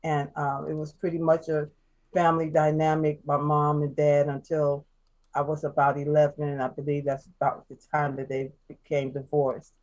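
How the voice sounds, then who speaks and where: A woman from Chicago, a guest telling her life story in casual chat, soft-spoken and halting, with many fillers and restarts. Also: low voice, monotone, rough recording. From Chicago, USA.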